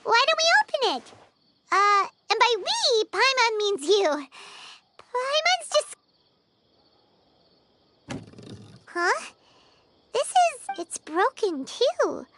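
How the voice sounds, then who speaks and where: A woman voicing a tiny, childlike, chirpy fairy companion with a squeaky voice in a video game. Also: sing-song, slow, heavy background noise, full character voice. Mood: curious, chirpy. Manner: speaks of herself in third person, exclamatory. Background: game music.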